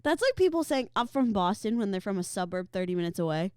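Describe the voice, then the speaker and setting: A woman's voice: medium pitch, sing-song, quick pace, a little mellow, clear, resonant tone, no room sound, clean studio sound. A young American woman co-hosting a podcast in a casual, slangy, blunt and teasing way. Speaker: podcast co-host. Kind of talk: casual chat. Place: studio.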